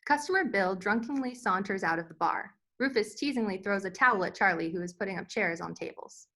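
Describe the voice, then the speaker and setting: A woman narrates a screenplay's scene headings and action lines. Her voice is medium in pitch, in the style of scripted narration for a table read. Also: expressive, fairly quick, pushed and bright. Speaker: stage directions reader.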